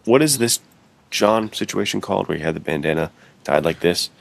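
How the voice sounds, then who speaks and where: A man's voice: low voice, lively intonation, brisk, creaky. An American man co-hosting a podcast, talking casually and informally with an emphatic way of putting things. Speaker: podcast co-host. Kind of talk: casual chat.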